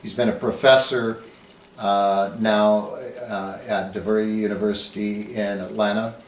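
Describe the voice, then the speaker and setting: Slow, halting male interviewer, echoey. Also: on the low side, level tone. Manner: halting, many uhs. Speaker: interviewer, magazine editor. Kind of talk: interview introduction. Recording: echoey room, mic at a distance, faint hiss.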